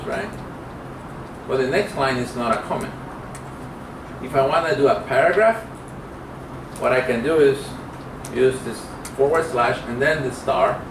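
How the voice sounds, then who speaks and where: A middle-aged man teaching programming in an informal lecture, speaking haltingly as he thinks aloud while demonstrating. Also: mid-range voice. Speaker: programming instructor. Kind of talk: informal classroom lecture with live coding demo. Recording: roomy, distant mic, clear background noise.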